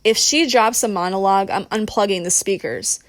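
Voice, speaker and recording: A woman reads a sardonic woman friend in a comic audiobook. She delivers dry, wry asides as if embarrassed by those around her, in a mid-pitched voice recorded close and dry. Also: moderate pace, dry recording, close to an everyday voice. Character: sardonic, easily embarrassed woman friend making deadpan quips. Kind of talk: audiobook character voice.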